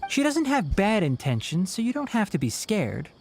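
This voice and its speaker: An actor playing a calm, caring, discreet scholar in a video game, speaking in a measured, reassuring and articulate way. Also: medium pitch, a little hiss or hum. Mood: explaining. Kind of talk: scripted video game dialogue.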